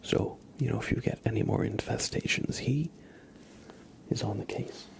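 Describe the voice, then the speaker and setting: A man's voice: medium pitch, normal speed, creaky. A man hosting a live ASMR stream, chatting to viewers with playful, murmured role-play patter. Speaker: livestream host.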